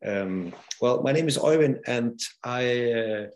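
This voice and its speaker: A man's voice: medium pitch, animated, takes it slowly, a little mellow. A man from Germany introducing himself as a guest, thoughtful and hesitant with fillers. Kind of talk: self-introduction in a talk.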